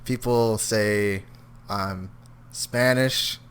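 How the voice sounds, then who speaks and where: A young man co-hosting a casual podcast, telling animated stories full of slang and laughter. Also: fairly low pitch, drawn-out words, clean tone. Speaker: podcast co-host. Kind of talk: casual chat.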